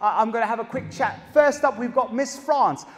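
A South African man in his thirties doing stand-up comedy on a large theatre stage with a handheld mic, animated and acting out characters. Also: extremely high pitch, moderate pace, soft background music. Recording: live hall with audience.

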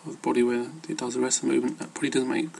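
A man talks off the cuff like an amateur fitness vlogger. His voice is gravelly and stays in a narrow, monotone range. He hesitates and rambles loosely over slight ambient noise. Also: medium pitch, very fast. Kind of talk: casual rambling advice talk.

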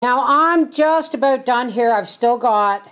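A woman's voice: on the high side, a little slow, a bit bright. An older woman, giving a casual, plain-spoken, folksy vlog narration in her home kitchen. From Canada. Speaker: homesteading vlogger and host.

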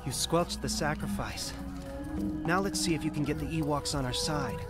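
Man playing young male hero, slightly high voice, sincere, commanding, calm, radio drama with music behind. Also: moderate pace, lightly acted. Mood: encouraging.

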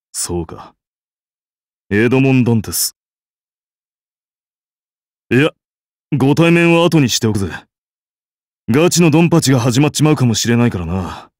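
A man's voice: mid-range voice, darkish tone, no room sound, clean studio sound, lightly acted. A man voicing a bold, hearty emperor in a game, with a brash, theatrical and casual way of talking. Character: bold, grandiose, hearty emperor and war leader. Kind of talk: game character voice lines.

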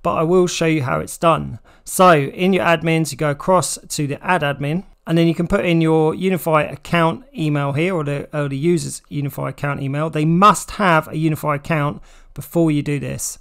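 Higher-voiced English man explaining tech. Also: steady tone, normal speed, gravelly, a bit bright, smooth. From United Kingdom. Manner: plain-spoken, step-by-step explaining. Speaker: tech tutorial presenter. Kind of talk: instructional walkthrough, informal explanation.

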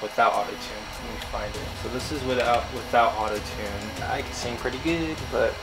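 A young man with a bassy voice, talking through a home music tutorial in a relaxed, slangy, self-deprecating way, recorded in a small room at home. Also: normal speed, rough recording. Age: young adult. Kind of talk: casual screen tutorial.

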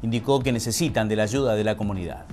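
A middle-aged man narrating a local news report in a measured, formal reading style. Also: fairly low pitch, a little mellow, soft background music. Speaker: news anchor.

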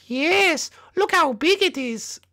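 A man voicing a small, sweet, excitable young fox with a squeaky, naive manner in an amateur comedy skit. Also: takes it slowly, exaggerated voice. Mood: awed. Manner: squeaky, naive, wide-eyed.

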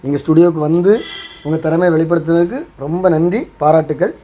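A man's voice: on the high side, fast, warm tone, slight background noise. A man with an Indian Tamil accent hosting and explaining in a vlog style. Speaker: host.

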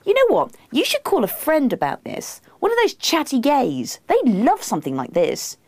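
A woman's voice: sits high, wildly swooping pitch, normal speed, darkish tone, dry recording, voice pushed up, exaggerated voice. A woman voicing a sleepy, exasperated husband who grunts and answers reluctantly in a comic book reading. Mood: despairing. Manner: grunting, despairing, reluctant replies.

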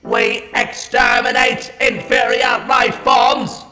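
Slow harsh robot alien, despairing and fanatical, sharp electronic bark, sci-fi audio drama. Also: mid-range voice, fairly even intonation, long reverb tail, faint music behind. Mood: rigid, defiant. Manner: staccato, stilted declarations. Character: Dalek, ranting alien war machine, despairing and fanatical. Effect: metallic robotic voice modulation.

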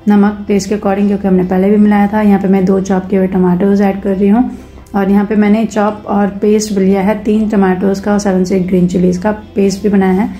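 A woman's voice: mid-range voice, dark tone. A woman hosting her own cooking channel, talking through a recipe step by step in a casual voice-over and mixing English cooking terms into her sentences.

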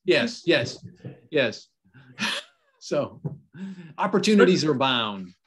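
A man's voice: fairly high pitch, big pitch swings, takes it slowly, fairly bright tone, slightly rough. A middle-aged man speaking as a guest on a video-call panel from his home office, thoughtful, with light laughs and repeated "yes". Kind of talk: casual panel discussion. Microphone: video call webcam.

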